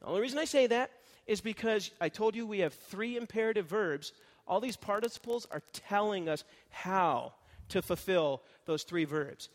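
A man with a notably high voice delivers a teaching sermon in a relaxed, explanatory way, pausing to ask "okay?" as he goes. His voice rings with the long reverb of a church hall. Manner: explanatory, conversational teaching with frequent "okay?" checks. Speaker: pastor. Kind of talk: expository sermon.

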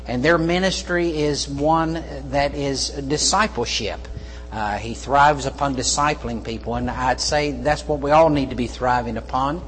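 Higher-voiced pastor in a reverberant hall. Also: male voice, a little hiss or hum. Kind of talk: introducing a guest speaker. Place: church service.

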